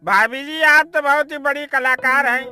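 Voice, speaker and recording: A man's voice: very high-pitched, steady tone, moderate pace, pushed and bright, soft background music, heavily acted. An actor playing an enthusiastic, chummy working man, the practical friend in a cartoon story. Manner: effusive, chummy.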